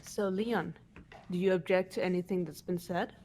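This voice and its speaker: A woman voicing a composed, probing young woman in a mystery game, measured and coolly confident, with slight background noise. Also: on the low side, fairly even intonation, a little mellow, close to an everyday voice. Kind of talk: dubbed game dialogue.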